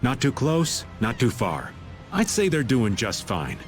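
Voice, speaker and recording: Easygoing, expressive game character. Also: male voice, mid-range voice, a little slow, faint music behind. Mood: wry. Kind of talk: English anime game dub acting.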